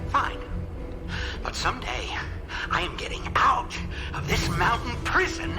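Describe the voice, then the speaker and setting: A woman's voice: a little high, big pitch swings, a little slow, over music. A woman playing a self-important, combative senator in a radio drama, pompous in manner. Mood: bitter.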